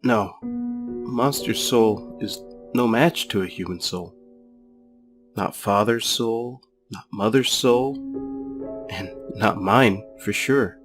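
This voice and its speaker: Cynical young goat prince acted by a man, unhurried and world-weary, self-doubting, fan dub drama. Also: lowish voice, music in the background. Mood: bitter, resigned. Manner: weary, sarcastic. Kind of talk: fan comic dub acting.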